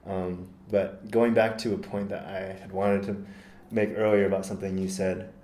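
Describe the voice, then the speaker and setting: A man from the United States talking in a relaxed podcast conversation, thoughtful and measured, often pausing with ums and correcting himself. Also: low-pitched. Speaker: podcast guest. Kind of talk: casual conversation. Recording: roomy echo.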